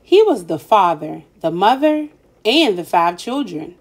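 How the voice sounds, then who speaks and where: A woman narrating a picture book for children as a storyteller, with expressive, dramatic emphasis on key words. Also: medium pitch, unhurried. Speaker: children's read-aloud storyteller.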